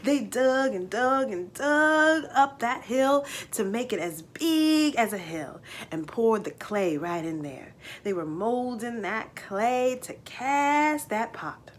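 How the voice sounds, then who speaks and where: A woman's voice: fairly high pitch, animated, takes it slowly, hardly any pauses, a little hiss or hum. A woman voicing an old farmer father who spins boastful tall tales in a folksy rural dialect for a storybook reading. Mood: boastful, reminiscing.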